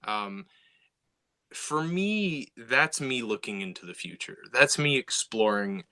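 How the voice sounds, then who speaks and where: A man's voice: mid-range voice, very animated, slow, bright, forceful tone. A young man talking casually about science fiction, with many fillers and restarts. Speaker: sci-fi writer. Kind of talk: casual conversation.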